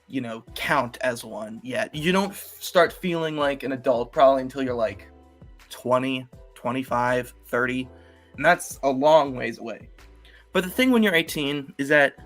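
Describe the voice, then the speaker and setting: A man's voice: on the high side, fairly bright tone. Rambling eighteen-year-old guy vlogging. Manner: rambling, many fillers and restarts. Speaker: YouTuber. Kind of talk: casual solo vlog talk.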